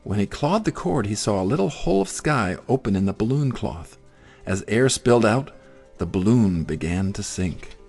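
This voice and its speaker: A man narrating a children's story in an expressive, theatrical way. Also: on the low side, normal speed, gravelly, husky, faint music behind. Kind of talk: dramatic children's story reading.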